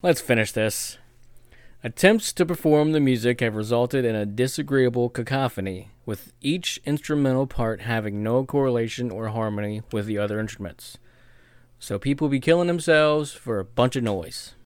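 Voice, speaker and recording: A man's voice: mid-range voice, moderate pace, clear, resonant tone, no echo. Wry joking man, dry mic. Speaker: podcast co-host. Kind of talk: casual podcast chat.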